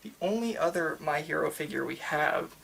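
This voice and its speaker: ASMR store worker, mid-pitched and creaky, halting casual chat, enthusiastic fan, slight ambient noise. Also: lively intonation, fairly bright tone, low-quality recording. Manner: rambling, halting, enthusiastic about anime. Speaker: manga store worker in a roleplay. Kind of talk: ASMR roleplay, casual customer-service chat.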